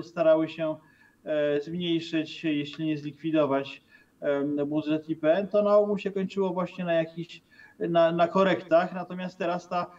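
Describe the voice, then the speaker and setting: Distant, dull-sounding Polish commentator on video call. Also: male voice, on the high side, darkish tone. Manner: hesitant, many fillers and restarts, tag questions. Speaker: political commentator guest. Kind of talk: remote TV interview commentary. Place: room with bookshelves.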